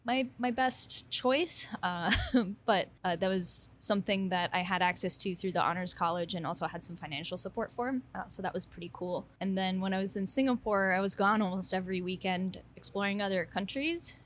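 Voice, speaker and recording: A woman's voice: medium pitch, normal speed, slight background noise. A woman in her thirties, a brewer and engineer, answering interview questions in a casual, self-deprecating way with frequent laughs. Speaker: kombucha brewer and engineering alumna.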